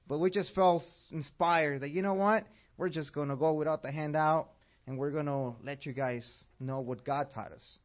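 A man from Mexico with a Mexican accent, teaching a church class and asking his audience questions in an upbeat way. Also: fairly high pitch. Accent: Mexican Spanish. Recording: dull lo-fi recording.

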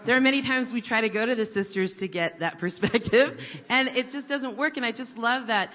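A woman's voice: a little high, fairly quick. A woman confidently sharing her view in a group, with light laughs. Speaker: church group member. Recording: echoey hall, dull sound.

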